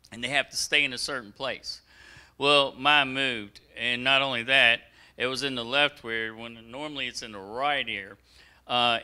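A middle-aged man giving a halting, plain testimony in a small church on a handheld mic. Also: medium pitch, bright, forceful tone, clear, resonant tone.

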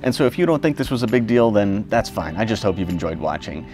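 A young man talking casually about his motorcycle trip, relaxed and rambling with fillers and self-corrections. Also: lowish voice, fast talker, soft background music. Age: young adult. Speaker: motorcycle vlogger. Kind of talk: casual reflective vlog talk.

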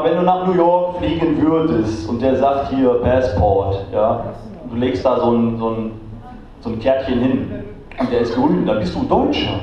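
A man who works as a teacher, talking casually to a large audience in a theatre, rambling with lots of fillers. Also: mid-range voice. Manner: chatty, rambling, many fillers. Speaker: teacher giving a talk on stage. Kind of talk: casual stage talk. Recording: distant, roomy, muffled hall recording with crowd.

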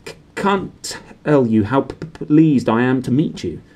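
A man's voice: mid-range voice, animated, unhurried, a little mellow, close to an everyday voice. A man voicing a nervous male professor who stammers on almost every word. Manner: stutters on many words.

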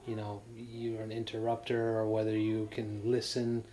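Man, 30s, living room testimonial, monotone, halting with fillers. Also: fairly low pitch, a little hiss or hum. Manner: rambling, many fillers and restarts. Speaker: married couple sharing a testimonial. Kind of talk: casual testimonial. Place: living room sofa, indoors.

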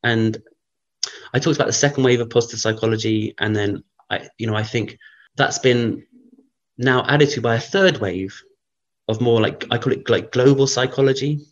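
A man, a guest researcher, thinking aloud in a casual interview, rambling with many fillers, his voice croaky from early morning. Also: medium pitch, normal speed, muffled recording.

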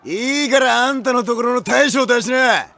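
A man playing a brash, swaggering young warlord in an audio drama, taunting and shouting with a raspy voice. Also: very high voice, normal speed, heavily acted. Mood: cocky taunting. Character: brash, cocky young one-eyed dragon warlord. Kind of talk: Japanese anime-style audio drama acting.

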